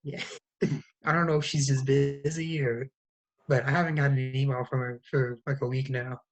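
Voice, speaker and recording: A man's voice: mid-range voice, fairly even intonation, normal speed, rough recording. A man, a student, chatting in an offhand, easygoing way on a video call. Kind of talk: casual chat.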